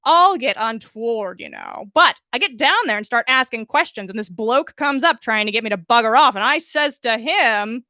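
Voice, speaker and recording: Brash female tabloid reporter played by a woman, gossipy, slangy, forceful bright voice, smirking, storybook character. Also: a little high, lively intonation, moderate pace, hardly any pauses, no echo. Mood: brash, excited.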